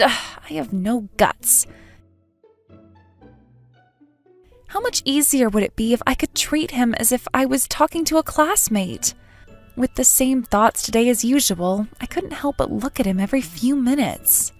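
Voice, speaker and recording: Woman voicing a high-voiced, lovesick teenage schoolgirl. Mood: wistful, self-doubting. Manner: emotive, self-doubting, hesitant. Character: sociable teenage schoolgirl, nervous and lovesick, earnest. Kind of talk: dramatic manga dub narration and dialogue.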